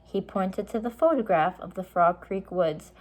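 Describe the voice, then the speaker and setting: A woman's voice: medium pitch, moderate pace, a little mellow. A woman reading a children's storybook aloud, in simple, explanatory style for young listeners.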